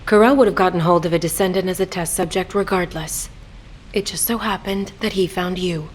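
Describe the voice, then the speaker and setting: A woman's voice: on the low side, steady tone, fairly quick, a little hiss or hum, close to an everyday voice. A woman playing a sly, worldly boss and tough-love mentor in a video game, teasing and commanding. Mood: firm, teasing.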